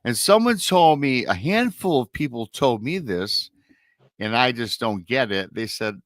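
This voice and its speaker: Bright-voiced Michigan man chatting. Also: mid-range voice, animated, unhurried, no room sound. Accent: Michigan American. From Michigan, USA. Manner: rambling, repeats himself. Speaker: talk show host. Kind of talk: casual chat.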